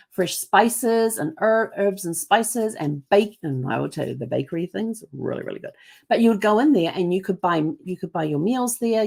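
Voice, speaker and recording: A woman's voice: fairly low pitch, darkish tone. A middle-aged woman from New Zealand with a mixed Kiwi and American accent, telling travel stories in a chatty, rambling way. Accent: mix of New Zealand and American. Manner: chatty, lots of ums and restarts, puts on voices for others. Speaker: host. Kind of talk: casual travel storytelling.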